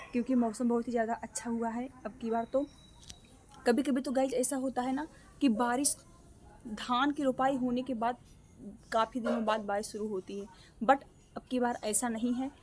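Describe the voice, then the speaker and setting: Young woman, casual vlog chatter, fairly high voice, outdoors on a phone, faint background noise. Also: darkish tone. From Ambedkar Nagar, Uttar Pradesh, India. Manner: chatty, repetitive, addresses viewers as guys. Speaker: vlogger. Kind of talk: casual vlog chat to viewers. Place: outdoors at home near a guava tree.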